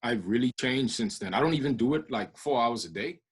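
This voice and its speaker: A man's voice: medium pitch, expressive, brisk, breathy edge. A man from the Bahamas with a Bahamian accent, chatting casually as a guest and laughing often. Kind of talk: casual video call chat.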